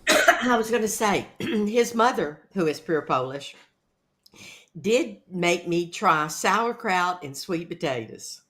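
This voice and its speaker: A woman's voice: lowish voice, lively intonation, takes it slowly, a bit bright. A middle-aged or older American woman chatting casually and good-humoredly as a guest on a talk show. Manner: good-humored, laughs often while talking. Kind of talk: casual chat.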